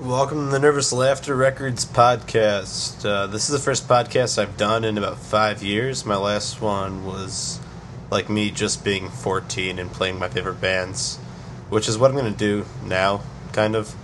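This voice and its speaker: A man's voice: on the low side, steady tone, a little slow. A young man with a cold and a nasal voice, hosting a podcast in rambling casual chat full of fillers and restarts. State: has a cold. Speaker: podcast host.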